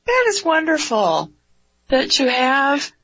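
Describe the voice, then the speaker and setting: Woman radio host with a slow, unhurried delivery and a gravelly voice, on a dry studio mic. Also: high-pitched, huge pitch swings, dry recording. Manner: slow and warm, with hesitant fillers and frequent laughter. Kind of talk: radio interview. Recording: dry, dull lo-fi radio broadcast.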